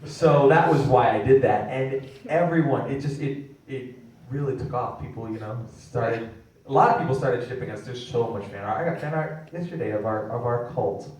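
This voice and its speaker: A man's voice: medium pitch, moderate pace, a little mellow. A young man answering fan questions at a convention panel, rambling and laughing as he tells a story. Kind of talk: casual panel Q&A. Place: large echoey convention hall. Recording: echoey hall recorded from a distance.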